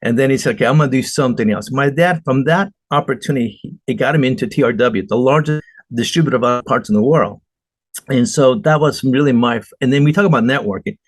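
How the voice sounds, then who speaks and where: A man's voice: medium pitch, fast, no room sound. A middle-aged man from Argentina, a guest entrepreneur telling earnest stories in an interview. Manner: earnest, storytelling, emphatic. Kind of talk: conversational interview answers.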